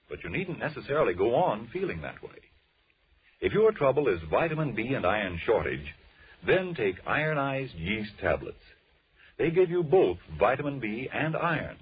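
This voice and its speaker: A man announcing in 1940s radio style, formal, emphatic and measured. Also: on the low side, slightly rough. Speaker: radio announcer and sponsor pitchman. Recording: muffled old radio recording.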